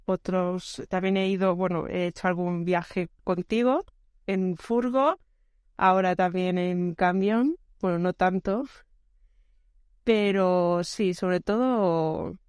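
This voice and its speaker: A woman's voice: fairly low pitch, slow, darkish tone, clean tone, no echo, studio quality. A woman talking as a guest on a casual podcast, easygoing and searching for her words as she goes. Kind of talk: casual conversational interview.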